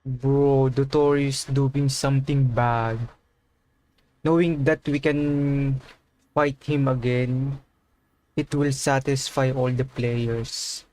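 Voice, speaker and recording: Slow-talking male streamer, mid-pitched voice. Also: flat delivery, a little mellow, no room sound, rough recording. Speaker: game streamer. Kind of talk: casual livestream commentary, reading along.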